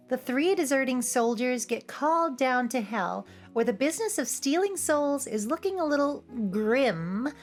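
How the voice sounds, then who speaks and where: High-pitched woman with playful, cheeky delivery. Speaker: video essay host. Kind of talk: scripted, humorous commentary over cartoon clips. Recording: music behind the voice. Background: background music.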